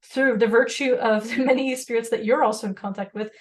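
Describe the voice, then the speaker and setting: Fast-talking woman. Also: a little high, steady tone. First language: Serbian. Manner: rapid, talkative, laughs often. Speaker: podcast co-host. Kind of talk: casual discussion.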